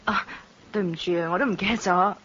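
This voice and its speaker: A woman's voice: mid-range voice, quick pace, a bit bright, breathy edge, highs cut off. An actress playing a poised, possessive career woman, sugary and subtly condescending, in a Hong Kong Cantonese radio drama.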